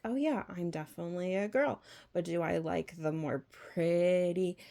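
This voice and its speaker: Slow-paced woman giving a halting opinion monologue. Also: lowish voice, darkish tone. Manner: halting, rambling, with rhetorical questions. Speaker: vlog host.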